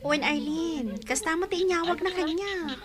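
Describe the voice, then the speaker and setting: A woman playing a lively, friendly household helper in an Ilocano radio drama, with an Ilocano accent. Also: very high voice, moderate pace. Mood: teasing.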